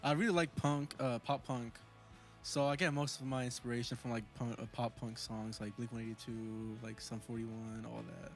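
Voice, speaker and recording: A man's voice: medium pitch, little pitch movement, moderate pace, soft background music. A teenage man, the band's drummer, talking casually with fillers and plenty of bro. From Portland, Oregon. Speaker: band drummer. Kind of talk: casual interview chat.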